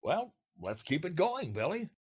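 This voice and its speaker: A man's voice: a little high, sing-song, measured pace, husky. An American man co-hosting, giving scripted introductions and friendly banter. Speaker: podcast co-host.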